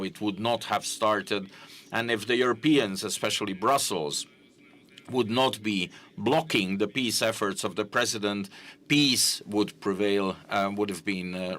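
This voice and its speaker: A man giving simultaneous interpretation at a press conference, halting, with frequent fillers and self-corrections. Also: on the low side, a bit bright.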